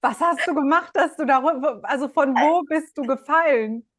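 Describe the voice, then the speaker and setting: High-voiced upbeat woman interviewer. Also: moderate pace. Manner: upbeat, encouraging questions. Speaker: interviewer, host. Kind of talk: casual interview.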